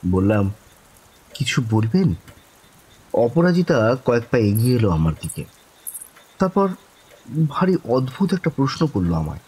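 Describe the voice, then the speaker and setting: A man narrating a horror story in the first person, dramatically and suspensefully, with pauses between short phrases. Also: medium pitch, very animated, darkish tone, breathy edge, low-quality recording.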